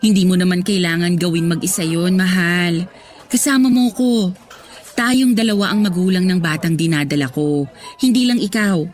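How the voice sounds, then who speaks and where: A woman's voice: on the low side, normal speed, a little mellow, close to an everyday voice. An actress playing an affectionate, teasing young mother-to-be and girlfriend in a radio drama. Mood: tender, excited. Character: affectionate woman, newly pregnant office worker and girlfriend. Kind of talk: Tagalog radio drama acting. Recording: music bed under dialogue.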